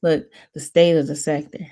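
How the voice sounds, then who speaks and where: This is a woman hosting a casual watch-party chat, her voice sitting on the low side. She rambles and restarts her sentences, mostly in a flat monotone that jumps high now and then, with laughs between thoughts. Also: breathy edge. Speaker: host of a watch party stream.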